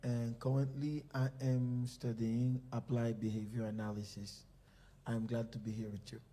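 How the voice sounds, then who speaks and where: A man's voice: medium pitch, little pitch movement, moderate pace, dark tone, slight background noise. A man, vice president of a ministry, introducing himself on a church panel in a cordial, slightly hesitant way.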